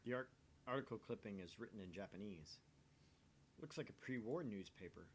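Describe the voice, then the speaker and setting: A man's voice: lowish voice, lively intonation, normal speed, a little hiss or hum. A man reading a visual novel's narration and dialogue aloud in a dramatic reading. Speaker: amateur voice reader of a visual novel.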